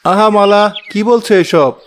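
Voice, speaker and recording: A man's voice: high-pitched, normal speed, a little hiss or hum, lightly acted. A man voicing a mild, resigned village farmer and husband in a cartoon, speaking plaintively. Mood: flustered. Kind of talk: cartoon voice acting.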